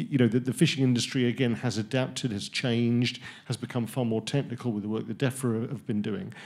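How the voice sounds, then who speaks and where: A middle-aged man answers into a handheld mic on a conference panel in a large hall. His voice is mid-pitched, and he starts with hesitation before settling into explanation. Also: a little mellow. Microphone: handheld microphone.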